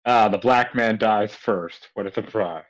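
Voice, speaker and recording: Lower-voiced man, halting read-aloud. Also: rough recording. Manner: halting, stumbling over words. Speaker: co-reader on a livestream. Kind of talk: comedic dramatic reading with casual banter.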